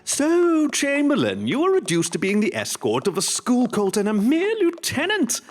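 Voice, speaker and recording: A man's voice: extremely high pitch, wildly swooping pitch, normal speed, soft background music, exaggerated voice. A man playing a sly, mocking royal vizier in a fan-made radio drama, theatrical, insinuating and mock-polite. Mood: mock-pitying, sly. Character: sly, mocking male royal vizier, needling an old rival. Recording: long hall reverb.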